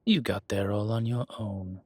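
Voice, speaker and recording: Audio drama role: wry centuries-old male vampire acted by a man, husky and unhurried, self-assured, close dry recording. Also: fairly low pitch, fairly even intonation, no room sound. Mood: sardonic.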